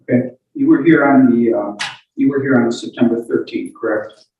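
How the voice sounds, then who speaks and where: A man questioning a witness as a lawyer in court, halting and repeating words as he forms his questions. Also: mid-range voice, dull audio, a little hiss or hum. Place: indoor courtroom. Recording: echoey courtroom, distant microphone.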